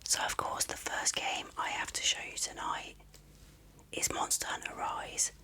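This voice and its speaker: A person whispering an ASMR unboxing as the video's host, in soft, unhurried, rambling commentary.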